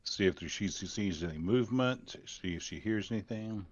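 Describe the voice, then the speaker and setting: A man's voice: lowish voice, normal speed, no echo, highs cut off. A man chatting casually as a player in a tabletop role-playing game.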